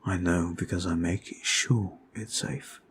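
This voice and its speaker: A man voicing a brooding male vampire who is weary and guilt-ridden, talking slowly and softly in an ASMR roleplay. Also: low-pitched, steady tone, slight background noise, heavily acted. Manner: slow, soft, confiding. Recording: faint music behind.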